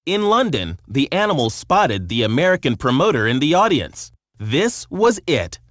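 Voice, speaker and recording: Male narrator, children's read-along story, lively intonation, mid pitch, dry studio-like sound. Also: moderate pace, no room sound.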